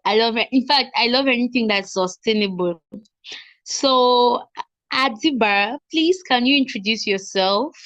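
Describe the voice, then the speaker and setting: A woman's voice: a little high, unhurried, a bit bright, dry recording. A woman from Cameroon, facilitating a webinar in a friendly, chatty way over a video call. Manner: friendly, chatty self-introduction. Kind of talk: casual webinar chat. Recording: dull lo-fi video call audio.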